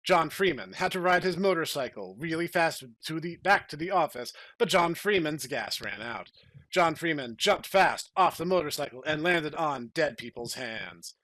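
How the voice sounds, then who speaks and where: A man's voice: a little high, lively intonation, normal speed, bright, forceful tone, no room sound, poor audio. A man hosting a podcast, talking in a rambling, casual way and reading stories aloud. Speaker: podcast host and story narrator. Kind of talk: casual chat and dramatic reading.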